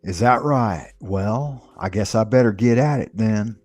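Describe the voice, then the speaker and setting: A man's voice: fairly low pitch, a little slow, a little mellow, dry recording, soft background music. A man putting on a drawling Southern backwoods man. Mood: folksy. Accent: put-on Southern US. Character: folksy backwoods Southern man giving directions.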